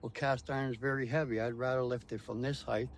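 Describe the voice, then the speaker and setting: A man's voice: mid-range voice, fairly even intonation, quick pace, a little hiss or hum. A man speaking as a tour guide, explaining things step by step in short, emphatic declarative phrases.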